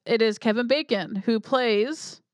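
A woman's voice: a little high, measured pace, dry recording. A woman co-hosting a podcast, bluntly skeptical in casual movie chat, often trailing off mid-thought. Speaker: podcast co-host.

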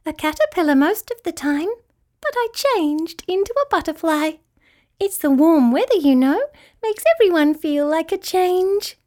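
Storybook butterfly, played by a woman: dainty, carefree young female, twinkly and extremely high, lilting widely. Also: expressive, normal speed, darkish tone. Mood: happy, chatty. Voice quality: tinkly, twinkly. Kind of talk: children's storybook character voice.

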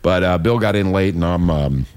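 A man's voice: deep voice, normal speed, a little mellow, clear, resonant tone. A hungover man hosting a talk show, rambling irreverently with lots of swearing. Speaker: radio show host. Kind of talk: casual talk show banter.

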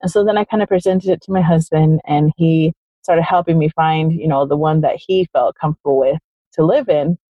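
A woman's voice: low-pitched, fairly even intonation, fairly quick, no echo. A woman who was born in Chile and raised in the United States, a guest telling her story in a rambling, casual way with lots of fillers. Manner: rambling, lots of "kinda" and "like", quotes her own thoughts. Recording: dull, dry sound.

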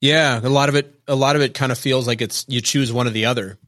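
A man's voice: mid-range voice, steady tone, fast talker, a bit bright, no room sound. Guy hosting a podcast, keeps nudging his guest w questions, dry close mic. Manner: guides the guest with repeated prompts. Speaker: podcast host. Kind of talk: interview conversation.

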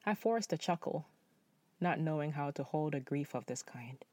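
A young man from Nepal telling a personal story in spoken-word style, measured and reflective. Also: on the high side, expressive, a little mellow, no room sound.

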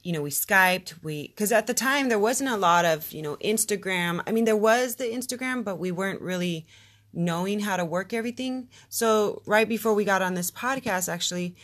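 A woman's voice: mid-range voice, normal speed, fairly bright tone. Upbeat American woman hosting a podcast. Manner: upbeat, playful, laughs easily. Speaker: podcast host. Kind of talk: casual podcast chat.

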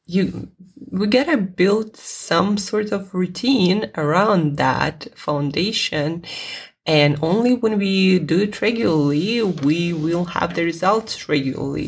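Russian-accented woman host, low husky voice, chatty and repetitive. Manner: chatty, repeats phrases, frequent fillers. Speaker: health podcast host. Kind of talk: casual talk to listeners.

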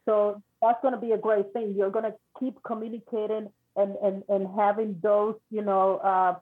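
Husky woman on a tinny phone line. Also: medium pitch, flat delivery. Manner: halting, repeats words, reassuring. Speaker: psychic reader. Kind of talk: spiritual reading.

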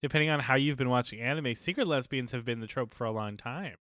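A man's voice: medium pitch, quick pace, a bit bright, dry recording. A man co-hosting a podcast in casual chat, wry and teasing. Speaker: podcast co-host.

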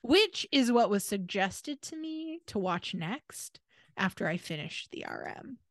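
A woman's voice: mid-range voice, big pitch swings, a little slow, no echo, studio quality. An American woman co-hosting a casual podcast, telling stories in an irreverent, exasperated way with emphatic asides. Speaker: podcast co-host.

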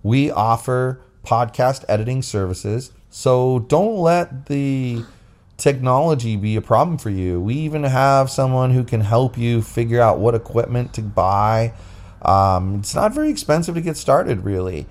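A man's voice: mid-range voice, expressive, unhurried, a little mellow. A man co-hosting a casual, unscripted podcast, explaining things in a rambling way into a phone. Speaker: podcast co-host. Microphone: recorded into a phone app.

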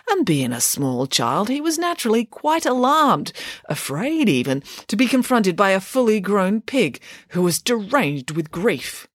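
A woman voicing a confident, bossy nanny pig who tells stories in a brisk, emphatic way. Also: fairly low pitch, no room sound, studio quality.

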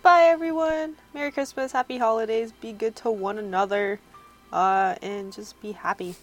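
A woman's voice: a little high, steady tone, normal speed, faint music behind. A woman of twenty-one co-hosting a casual chat, giggly and teasing. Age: 20s. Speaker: podcast co-host.

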